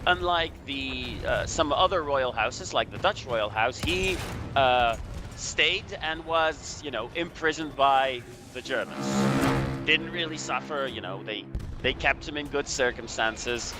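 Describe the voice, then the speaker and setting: A Belgian man giving casual, halting history commentary over a video game, explaining things hesitantly. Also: mid-range voice, over music. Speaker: guest commentator.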